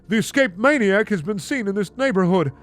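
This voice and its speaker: A man's voice: sits high, animated, brisk, fairly bright tone, voice pushed up. A man voicing a stern, protective Texan father, emphatic, in a comic fan fiction reading. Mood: urgent.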